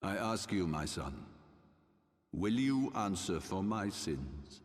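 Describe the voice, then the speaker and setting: Fantasy game patriarch, older ruler, low-pitched, formal and unbending, heavy hall reverb. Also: male voice, very animated, full character voice. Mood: solemn, insistent. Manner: measured, formal, archaic oratory. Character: stern, eloquent older male religious ruler, a father justifying old sins. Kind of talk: dramatic fantasy game cutscene acting.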